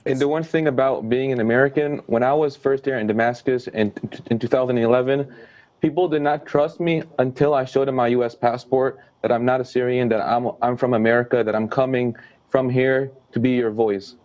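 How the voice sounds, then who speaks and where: Young man, student guest, earnest, halting, dark tone, dull lo-fi audio. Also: medium pitch, steady tone. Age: young adult. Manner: earnest, repeats words as he searches for them. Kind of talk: casual interview answers.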